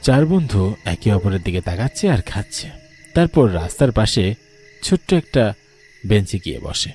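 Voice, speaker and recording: A man's voice: fairly low pitch, sing-song, normal speed, a little mellow, soft background music. A man giving dramatic, scripted narration of a ghost story.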